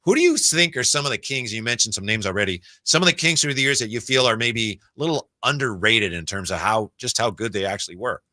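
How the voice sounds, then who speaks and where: Male sports radio interviewer, very bright cutting voice, dry close sound, conversational. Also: medium pitch, expressive, fast talker, hardly any pauses, no room sound. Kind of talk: casual sports talk interview.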